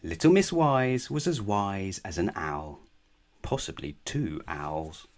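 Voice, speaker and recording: A man reading a children's storybook aloud in a measured, expressive way with emphatic phrasing. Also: sits low. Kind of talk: children's bedtime story narration.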